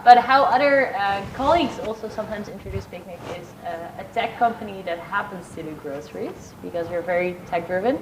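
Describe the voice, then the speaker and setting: A woman's voice: mid-range voice, brisk, hardly any pauses, a little hiss or hum, low-quality recording. A woman from the Netherlands speaking as a panel guest at a conference, upbeat and organized. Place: conference stage.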